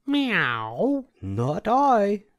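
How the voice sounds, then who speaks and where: Man putting on a high, mewing lazy cat. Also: huge pitch swings, drawn-out words, a bit bright, full character voice. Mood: dismissive. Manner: short, mewing replies. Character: lazy meowing storybook cat.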